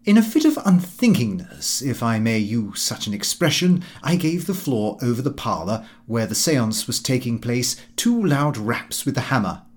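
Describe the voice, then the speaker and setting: A man's voice: medium pitch, very animated, normal speed, hardly any pauses, darkish tone, natural voice. A man voicing a pompous, fussy middle-aged Victorian clerk in comic audiobook narration, prim and precise in his delivery. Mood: self-important. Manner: prim, self-important, precise.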